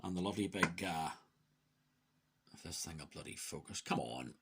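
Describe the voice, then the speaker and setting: A man giving a casual, chatty, opinionated hands-on radio hobby review, muttering to himself at times, in a husky voice. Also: low voice, normal speed. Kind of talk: casual hands-on product demonstration.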